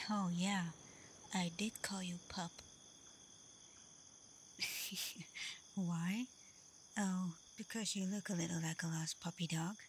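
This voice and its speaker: A confident female werewolf alpha , played by a woman, talks straight to the listener in an ASMR roleplay. Her voice is soft and breathy, often near a whisper, with little rise or fall. She takes her time, gently teasing and protective, with faint crickets and night forest ambience behind her. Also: lowish voice, a little hiss or hum. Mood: teasing, knowing. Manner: calm, unhurried, gently teasing.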